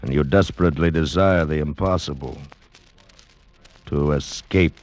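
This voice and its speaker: An actor playing an ominous announcer who introduces radio suspense tales in a portentous, dramatic, deliberate manner. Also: male voice, extremely low pitch. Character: ominous announcer luring listeners into nightmare tales. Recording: muffled old radio broadcast with music.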